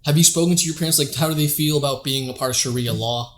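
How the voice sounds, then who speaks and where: A man's voice: a little high, quick pace, a bit bright. A man hosting a casual podcast interview, talking in a laid-back, rambling way with many fillers. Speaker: podcast host.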